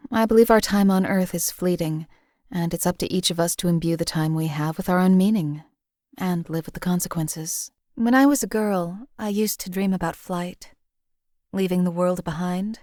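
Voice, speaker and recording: A woman's voice: lowish voice, no room sound, clean studio sound, natural voice. A woman playing a cold emotionless assassin. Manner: flat, cold, clipped and detached. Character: cold-blooded female assassin companion, emotionless. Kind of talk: game companion voice lines.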